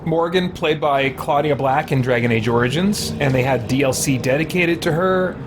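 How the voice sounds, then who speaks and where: A man's voice: fairly high pitch, moderate pace, background noise. A man giving casual, rambling and thoughtful gameplay commentary as a streamer. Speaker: gaming streamer.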